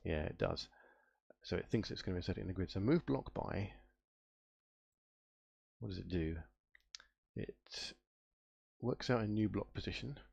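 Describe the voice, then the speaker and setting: A man with a somewhat low voice and a gravelly edge, a little nasal from a cold. He talks through his game code on a live stream in a relaxed way, muttering, trailing off and spelling things out as he hunts through it. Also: highs cut off. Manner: muttering to himself, trailing off and spelling words out while searching. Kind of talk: casual thinking-aloud coding stream.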